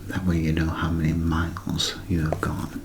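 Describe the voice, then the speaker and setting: A man doing a whispered ASMR show-and-tell, speaking in a hushed, unhurried way with gentle pauses. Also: extremely low pitch, monotone, noisy background. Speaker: ASMR creator.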